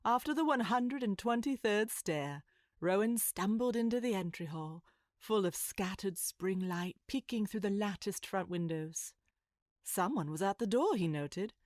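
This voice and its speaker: A woman's voice: mid-range voice, no room sound, studio quality. Female storybook narrator over music.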